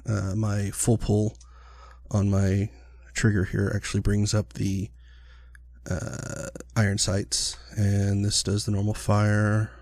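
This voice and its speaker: Gravelly-voiced man, getting over a cold. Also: on the low side, takes it slowly, dry recording, studio quality. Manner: rambling, filler-heavy, relaxed. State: recovering from illness, sniffly, clearing his throat. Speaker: gaming channel host. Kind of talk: casual let's-play commentary.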